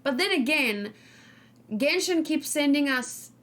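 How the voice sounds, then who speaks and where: High-pitched woman chatting casually on stream. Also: animated, takes it slowly, a bit bright. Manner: informal, rambling, thinking aloud. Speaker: gaming streamer and host. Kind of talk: casual chatty let's play commentary.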